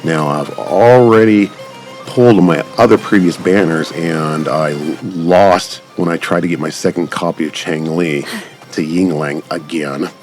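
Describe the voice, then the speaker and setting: Deep-voiced man, casual gaming commentary. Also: a little slow, a little mellow. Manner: rambling, addresses viewers directly. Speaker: gaming channel host. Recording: background game music.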